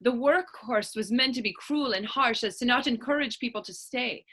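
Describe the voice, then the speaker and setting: A woman's voice: fairly high pitch, moderate pace, bright, forceful tone. A woman playing a tough, proud teenage Irish orphan turned actress in a readers theatre drama, telling her story in lilting old-fashioned idiom with an Irish accent. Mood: indignant storytelling. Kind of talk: stage readers theatre acting in dialect.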